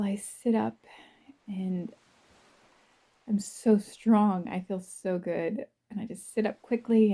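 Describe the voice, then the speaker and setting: Female guided-visualization narrator, very dark soft tone, breathy with some creak, gentle, dreamy, unhurried delivery. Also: mid-range voice. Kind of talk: slow first-person guided visualization.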